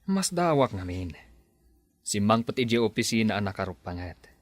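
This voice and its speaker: A man's voice: lowish voice, very animated, normal speed, no echo. A man acting in a radio drama as a sensible, questioning boss and loyal friend, speaking expressively. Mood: concerned.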